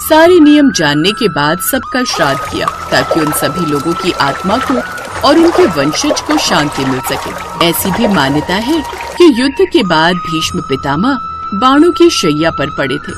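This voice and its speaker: A woman narrates a scripted devotional tale. Her intonation swings in a lively, expressive way, and music plays clearly behind her. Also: on the low side, unhurried.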